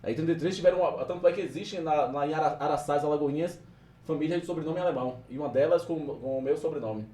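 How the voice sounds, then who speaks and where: Mellow Bahian man, off-mic in a roomy space. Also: a little high, recorded from a distance. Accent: Bahian Portuguese. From Salvador, Brazil. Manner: measured, searching for where to begin. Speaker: podcast guest. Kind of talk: telling his life story on a podcast.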